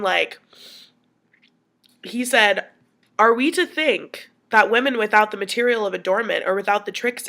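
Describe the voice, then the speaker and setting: Sniffly young woman with a creaky voice. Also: medium pitch, a bit bright. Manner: chatty, filler-heavy, thinking aloud. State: sniffly from a cold. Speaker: student. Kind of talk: casual recorded class response.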